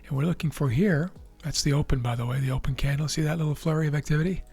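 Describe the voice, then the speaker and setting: A man with a raspy, tired voice, hosting a trading video and explaining live charts in a measured way with frequent fillers and restarts. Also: on the high side, a little mellow. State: losing his voice.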